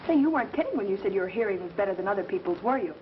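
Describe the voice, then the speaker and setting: An actress playing a bold, quick-witted young woman sleuth in a theatrical 1930s film style, wry and given to melodrama. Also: medium pitch, animated, slight background noise, close to an everyday voice. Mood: wry, alert. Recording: dull 1930s film soundtrack with music.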